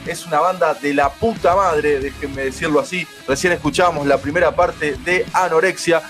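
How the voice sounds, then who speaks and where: A man, hosting a radio show and chatting excitedly over a remote call. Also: on the high side, soft background music. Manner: fast, enthusiastic, slangy. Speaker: radio host. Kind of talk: casual radio chat.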